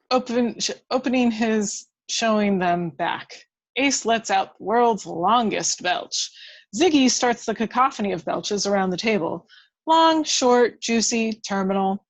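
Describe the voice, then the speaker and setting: A woman's voice: medium pitch, poor audio. A woman reading stage directions as scripted narration at a table read of a film script.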